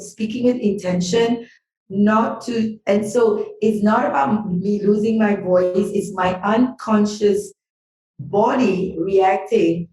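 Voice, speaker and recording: A woman's voice: mid-range voice, warm tone. Earnest woman guest in a roomy echo. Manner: earnest, emphatic, speaks of energy and healing. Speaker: podcast guest, business school owner and healer. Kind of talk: reflective personal sharing. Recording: roomy sound from a distant mic.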